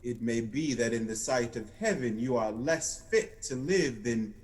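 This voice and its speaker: A man's voice: medium pitch, measured pace, darkish tone, natural voice. A man playing a grave, stern Christmas spirit in a radio-style audio play, speaking in a declamatory way. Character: solemn, stern ghostly Christmas spirit guiding a miser.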